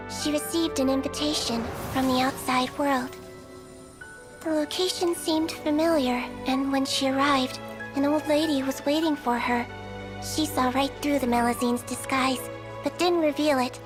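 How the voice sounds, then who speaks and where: A small, kindly Melusine doctor from a fantasy game, with a high, sweet voice. She talks softly and earnestly, like someone telling a story. Game music plays behind her. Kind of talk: game cutscene voice acting.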